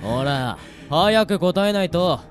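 A man acts a flippant, taunting killer in a radio drama. He drawls slowly and casually, with very lively intonation. Music sits behind the voice. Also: high-pitched. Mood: sly, casual. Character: cocky, flippant male killer in a death game.

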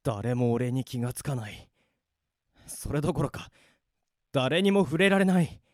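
A man voicing a bewildered young office worker who finds no one can see him, talking in rough casual speech, in an amateur radio drama. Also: medium pitch, animated, unhurried, dry recording, clean studio sound, lightly acted. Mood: panicked.

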